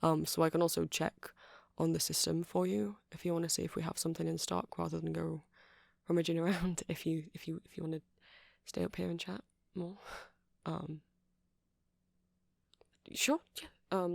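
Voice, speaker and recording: Nerdy vampire shop clerk ASMR performer, gravelly fry, dry intimate sound, eager but unsure, rambles and apologises. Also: medium pitch, level tone, normal speed, pauses often, no room sound. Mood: helpful, rambling. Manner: hesitant, rambling, self-deprecating, nervous little laughs. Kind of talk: ASMR roleplay.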